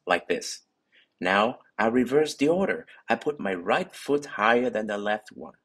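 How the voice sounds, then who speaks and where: A man narrating a scripted instructional voice-over, explaining technique in steady, slightly non-native phrasing. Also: fairly low pitch, breathy edge. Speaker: bowling coach.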